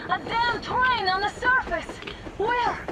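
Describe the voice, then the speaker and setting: High-voiced blunt young woman soldier. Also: slow, very noisy. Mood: excited, urgent. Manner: blunt, emotive. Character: tough, impatient young woman soldier, caring wife. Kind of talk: dramatic video game acting.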